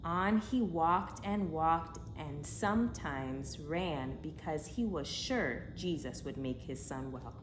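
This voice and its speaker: A woman reading a children's story aloud in an expressive, dramatic way, with emphatic phrasing for young listeners. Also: low-pitched, slow pace, hardly any pauses. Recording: echoey room with faint background noise.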